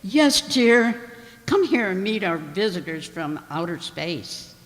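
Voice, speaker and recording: A man playing a proper carrot wife and mother in a comic radio play, polite and measured. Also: high-pitched, very animated, a bit bright, exaggerated voice. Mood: motherly. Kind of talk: comic radio drama acting. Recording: echoey hall with long reverb.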